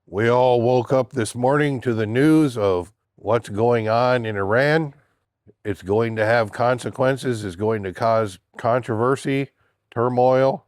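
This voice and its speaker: A man's voice: medium pitch, no room sound, clean studio sound. An older man with a stuffy, congested voice from a cold, giving a blunt, indignant opinion monologue in a casual vlog. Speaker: political vlogger.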